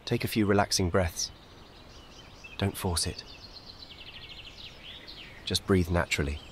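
Calm low-voiced man guiding a meditation. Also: slight background noise. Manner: calm, measured, soothing, with gentle short instructions. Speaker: meditation guide. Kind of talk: guided meditation, scripted narration.

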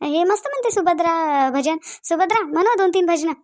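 A fussy older village mother-in-law voiced by a woman keeps a cartoon household moving, with a Vidarbha Marathi accent. Her voice sits very high, and she hurries and welcomes guests in the same breath. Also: lively intonation, brisk, a little mellow. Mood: welcoming. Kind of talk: cartoon voice acting.

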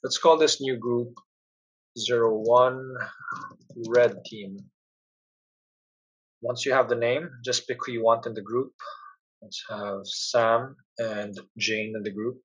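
Guy doing a screencast how-to, slightly raspy voice, plain instructions, kinda muffled lo-fi mic. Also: mid-range voice, fairly even intonation, slow, long pauses. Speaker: software tutorial presenter. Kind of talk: step-by-step screencast narration.

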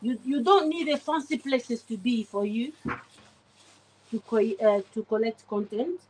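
A chatty woman in her forties talks through a home cleaning livestream, her voice sitting a little high. She greets viewers by name as she works, recorded on a phone in her kitchen with a faint hiss underneath. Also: unhurried, darkish tone, rough recording. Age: 40s. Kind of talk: casual live commentary while cleaning. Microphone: phone camera pointed at the oven.

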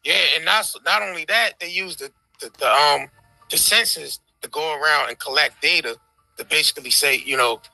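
An American man co-hosts a casual livestream in a high, piercingly bright voice. His talk is slangy and sweary, circling back on the same phrases. The sound is dry and close. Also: moderate pace, creaky, no echo, rough recording. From United States. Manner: slangy, profane, repetitive. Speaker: co-host. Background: faint background music.

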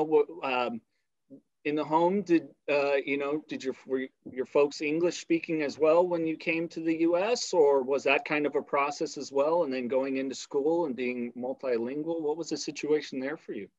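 A man's voice: fairly high pitch, normal speed, darkish tone, dry recording, muffled recording. A man hosting a podcast interview, talking in long rambling turns with blunt, salty language. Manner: rambling, blunt, swears freely. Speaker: podcast host. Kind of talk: casual interview conversation.

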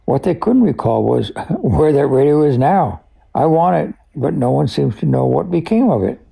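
A man narrates technical history as an expert collector, in a conversational but scripted way. His voice is very dark and mellow, heavily creaky and husky around the edges. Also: a little high, sing-song, normal speed. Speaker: radio collector and expert guest.

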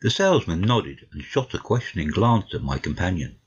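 A man's voice: deep voice, sing-song, normal speed, slightly rough. A man narrating a literary audiobook from a script. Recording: slightly dull, lo-fi audio.

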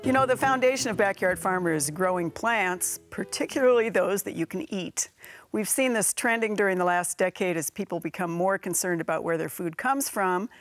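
A woman's voice: mid-range voice, moderate pace, soft background music. A middle-aged American woman hosting and interviewing on a gardening show, recorded on a lapel mic in a studio. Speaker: TV host.

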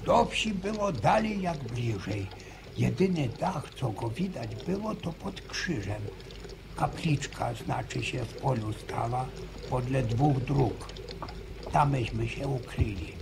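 An actor playing an old village grandfather who tells a slow, pious story in halting rustic speech, in a radio drama. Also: mid-range voice, expressive, vocal fry, noisy background. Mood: storytelling. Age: older. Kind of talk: 1960s radio drama acting.

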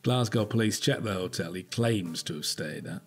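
A British actor playing a dry, weary older detective inspector at home in an audio drama. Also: male voice, sits low, moderate pace, soft background music. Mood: exasperated. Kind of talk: naturalistic audio drama dialogue.

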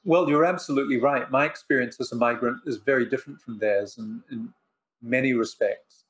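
An older man whose first language is Polish, a professor and author answering interview questions on a video call from his living room, measured and reflective with many pauses. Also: medium pitch, low-quality recording. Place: living room in front of bookshelves. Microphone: video call webcam.

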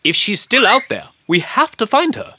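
A man's voice: sits high, big pitch swings, normal speed, sharp tone, heavily acted. A man voicing an earnest, brave young boy who keeps rhinos in a children's cartoon.

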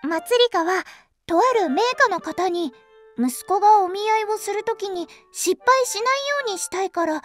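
Very high-pitched sweet girl empress. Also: normal speed, faint music behind. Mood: chatty, earnest. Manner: polite, earnest, girlish, excitable. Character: sweet, dreamy thirteen-year-old girl empress. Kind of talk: anime-style audio drama acting.

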